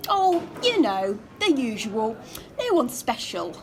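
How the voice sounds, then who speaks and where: A woman's voice: on the high side, very animated, slow pace, a little hiss or hum, exaggerated voice, poor audio. A woman playing a genteel, gossipy hotel receptionist in an audio drama, prim and coy. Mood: wry.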